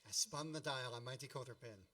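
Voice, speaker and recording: Wry, bright-voiced storyteller. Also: male voice, mid-range voice, a little fast, far from the mic. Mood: suspenseful. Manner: wry, melodramatic. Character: wry grown man narrating his boyhood memories with mock grandeur. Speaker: narrator. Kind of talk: storytelling radio play narration.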